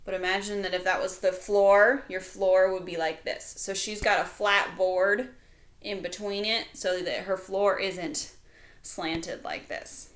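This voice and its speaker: A woman's voice: mid-range voice, steady tone, a little slow. A woman teacher reading a children's storybook aloud, with casual explanatory asides addressed directly to young listeners.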